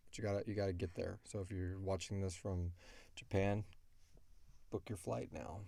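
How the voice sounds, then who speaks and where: A man's voice: low voice, fairly even intonation, moderate pace, gravelly, mellow. A man hosting a podcast in casual question-and-answer chat, rambling along with self-deprecating jokes. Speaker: podcast host and creator.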